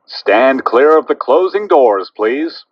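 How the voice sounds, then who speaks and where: A man's voice: mid-range voice, expressive, takes it slowly, breathy edge, no room sound, lo-fi sound. A man giving formal, instructing pre-recorded announcements on a subway train.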